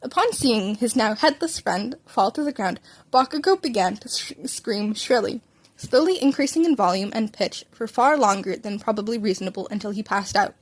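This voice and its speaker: A woman's voice: mid-range voice, expressive, normal speed. A woman narrating a story as an amateur reader, informal, often stumbling over words and correcting herself. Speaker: fan fiction reader and narrator.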